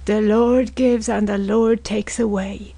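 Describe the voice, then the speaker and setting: Female narrator as sanctimonious neighbour woman, motherly, sing-song churchy lament, gushing pity, storybook drama, slow delivery. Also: medium pitch, level tone, creaky, warm tone, no room sound. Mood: pious lament. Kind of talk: literary audiobook character acting.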